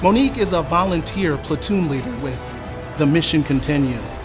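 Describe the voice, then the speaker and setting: A man's voice: on the high side, lively intonation, moderate pace. A man reading a scripted tribute aloud as narration. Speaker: company employee reading submission stories. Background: prominent background music.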